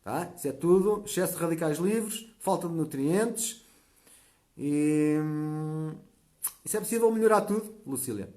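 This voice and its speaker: Portuguese guy in his 40s, slightly high voice, chatty, keeps tossing in quick check-ins like "tá?". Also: animated, dark tone. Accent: European Portuguese. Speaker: natural health channel host. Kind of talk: live stream chat reading viewer comments.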